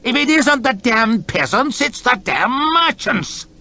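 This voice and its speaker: A man's voice: high-pitched, expressive, normal speed, a bit bright, pitched above the performer's own voice. A man voicing an arrogant, irritable male nobleman who bellows with contempt, in an audiobook. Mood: blustering. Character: pompous, irritable middle-aged male lord chamberlain.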